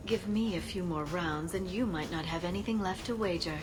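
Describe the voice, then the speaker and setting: A woman voicing a gracious alien scholar who talks in a composed, gently dry way. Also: on the low side, brisk, lightly acted. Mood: relaxed, teasing. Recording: music playing behind the voice.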